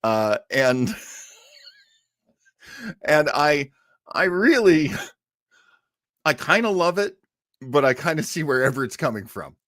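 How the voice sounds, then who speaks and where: A man hosting a livestream in a casual, rambling, halting way, telling stories and asides with an amused manner. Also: a little high, sing-song, fairly bright tone, no echo, clean studio sound. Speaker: livestream show host. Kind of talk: casual livestream chat, storytelling.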